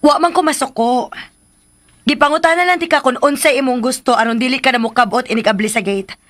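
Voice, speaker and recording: Dutiful, gentle young housemaid for Cebuano-accented radio drama; high register, placating delivery. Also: female voice, fairly quick. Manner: patient, placating. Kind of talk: Philippine radio drama acting.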